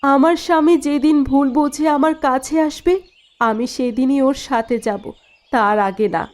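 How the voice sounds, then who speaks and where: A woman's voice: high voice, steady tone, moderate pace, a little mellow, close to an everyday voice. A woman playing a sorrowful but proud young wife in a cartoon drama, plaintive and earnest. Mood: resolute. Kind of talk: dubbed cartoon dialogue.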